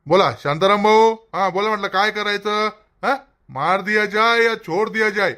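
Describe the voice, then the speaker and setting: A forceful cartoon villager, acted by a man with a high, sharp voice. He prods and threatens as a council member. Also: moderate pace. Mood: threatening, prodding. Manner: forceful, prodding. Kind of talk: cartoon comedy dialogue.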